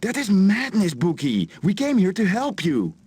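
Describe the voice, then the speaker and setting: An actress playing an earnest, inquisitive adventurer in a fantasy video game. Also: female voice, medium pitch, very animated, moderate pace, darkish tone, slight background noise, natural voice. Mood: indignant.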